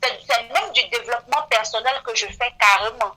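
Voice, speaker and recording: Bright-voiced woman in her 40s. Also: fairly high pitch, fairly quick, breathy edge, rough recording. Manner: earnest, frequent "voilà" fillers. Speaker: beautician guest. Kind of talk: radio interview guest.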